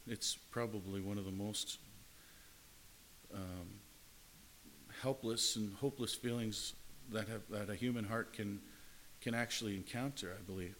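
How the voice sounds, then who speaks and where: A man's voice: fairly low pitch, unhurried, a bit bright, a little hiss or hum, low-quality recording. A man preaching a sermon in an earnest way, often repeating and restarting his words. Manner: earnest, repetitive phrasing with frequent restarts.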